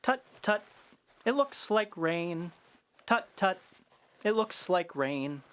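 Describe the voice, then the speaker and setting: A man's voice: sits high, expressive, slow pace, a bit bright, smooth, exaggerated voice. A man playing a polite, innocent, helpful young boy in a children's audio drama. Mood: innocent, curious.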